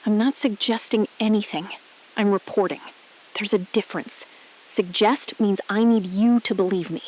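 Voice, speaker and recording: A woman playing a calm, resolute astronaut-scientist in an audio drama, speaking in precise, measured, clipped sentences. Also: mid-range voice, lightly acted, low-quality recording. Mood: coolly defiant. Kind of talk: scripted audio drama acting.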